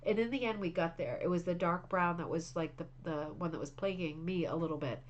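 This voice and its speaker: A woman with a slightly low voice and a touch of congestion hosts a relaxed craft club stream. She chats warmly and eagerly about viewers' projects, often restarting her sentences as she goes. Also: a little fast, muffled recording, a little hiss or hum. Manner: chatty, enthusiastic, frequent restarts and fillers. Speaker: host of a craft club livestream. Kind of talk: casual chat reading viewer messages.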